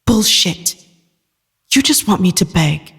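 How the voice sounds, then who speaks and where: A man's voice: high voice, expressive, slow pace, vocal fry, a bit bright, husky, full character voice. A man voicing a defiant young businesswoman. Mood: pleading defiance. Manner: assertive, challenging. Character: defiant young female junior associate, sharp and bold. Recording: long reverb, music behind.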